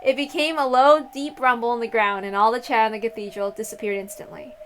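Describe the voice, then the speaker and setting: A woman reading a visual novel aloud, with expressive narration and casual asides. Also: a little high, fast talker, a little hiss or hum.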